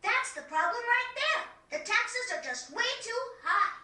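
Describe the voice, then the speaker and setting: A woman's voice: extremely high pitch, animated, unhurried, bright, forceful tone, full character voice. A woman voicing an eager, chatty young boy adventurer in a video game cutscene. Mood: earnest. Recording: echoey, distant game audio.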